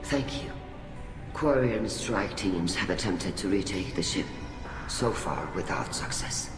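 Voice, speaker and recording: A man's voice: low voice, lively intonation, a little slow. A man playing a grave, authoritative alien admiral presiding over a hearing in a video game cutscene, speaking formally. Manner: formal, solemn. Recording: echoey hall, music underneath.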